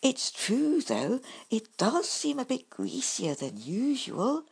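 A woman voicing a gentle, worried male miniature badger in a storybook reading. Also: on the high side, huge pitch swings, takes it slowly, vocal fry, husky, voice pushed up, full character voice. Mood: apologetic. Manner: kindly, worried, soft-spoken. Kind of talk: storybook character voice.

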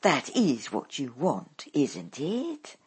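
This is a scheming stepmother witch from a storybook, bossy and scornful, snapping orders at her daughters, as a woman puts her on. The voice swoops wildly up and down and comes out very husky. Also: low-pitched, wildly swooping pitch, slow pace, a little mellow, full character voice.

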